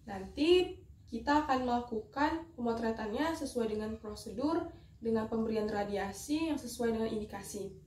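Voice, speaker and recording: A young woman with a fairly high voice presents a rehearsed demonstration, politely and step by step. She sounds a little far from the mic in a very echoey room, with faint noise behind her. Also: a little mellow. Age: young adult. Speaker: dental student operator giving a skills demonstration. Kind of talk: rehearsed instructional demonstration with patient role-play.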